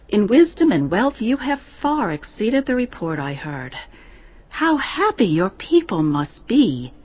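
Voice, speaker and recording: Stately visiting queen, reverent and admiring, lively declamatory melody, audio Bible drama, slightly dull sound. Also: mid-range voice.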